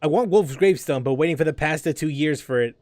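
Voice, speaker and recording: A man's voice: fairly high pitch, quick pace, darkish tone, no room sound. A man casually chatting while streaming a game, rambling with lots of fillers and restarts as he reads chat aloud.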